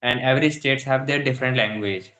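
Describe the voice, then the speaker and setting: A young man from India with an Indian accent, a Hindi speaker practicing English in casual conversation, halting and full of fillers as he searches for words. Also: mid-range voice, fairly bright tone, reverberant. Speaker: English learner. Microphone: video call.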